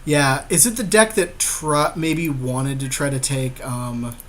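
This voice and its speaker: A man's voice: mid-range voice, fairly even intonation, slow pace, fairly bright tone, slight background noise. A man co-hosting a podcast, casually talking through plays in a card game. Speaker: podcast co-host.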